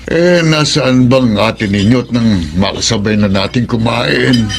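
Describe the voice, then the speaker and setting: An actor playing a kindly middle-aged father in a Tagalog radio drama, gently admonishing, with a Filipino accent. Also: medium pitch, a little slow, creaky, slight background noise. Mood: fatherly, tender.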